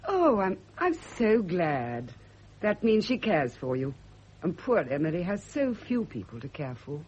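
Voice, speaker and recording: A refined middle-aged spinster in a radio play, husky and lively in pitch, speaking with genteel, intense earnestness. The vintage recording sounds dull and boxed in. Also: female voice, measured pace, full character voice. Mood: polite, restrained. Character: refined, devoted unmarried woman, cultured and intense. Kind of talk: radio drama acting.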